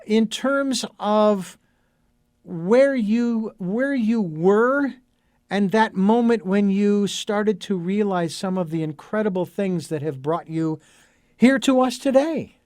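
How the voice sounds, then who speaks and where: A man's voice: high voice, slow pace, darkish tone, no room sound, studio quality. A middle-aged man hosting a radio interview show, speaking earnestly with a meandering flow and many fillers. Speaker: radio talk show host.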